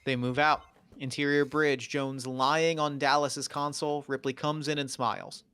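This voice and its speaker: A man's voice: fairly high pitch, flat delivery, normal speed, sharp tone, smooth. A man narrating the stage directions of a scripted drama at a table read.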